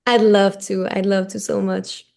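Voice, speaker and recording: A woman's voice: medium pitch, flat delivery, unhurried, creaky, darkish tone. A woman whose first language is French, a singer answering interview questions in a hesitant way, with many ums and restarts. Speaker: singer-songwriter guest.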